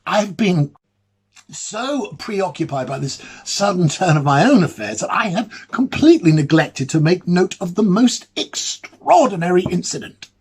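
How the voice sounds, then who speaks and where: A man playing an ailing, lonely, fussy bachelor academic in a dramatic ghost-story monologue, musing hesitantly and theatrically in a husky voice. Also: high-pitched, expressive, a little slow, heavily acted. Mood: excited wonder. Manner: hesitant, musing, theatrical, with murmured asides and swelling emphasis.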